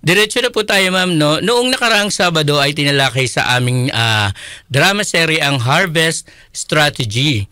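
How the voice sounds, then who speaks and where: Male Filipino radio co-host, conversational, crisp resonant voice, very dry recording. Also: on the high side, animated, normal speed, sharp tone, dry recording. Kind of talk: conversational radio interview hosting.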